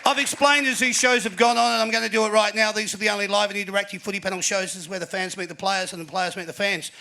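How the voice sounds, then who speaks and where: An Australian man hosting a live panel show before an audience, energetic and announcer-like, with plenty of fillers. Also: high-pitched, quick pace, vocal fry, a faint crowd, a little hiss or hum. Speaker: host.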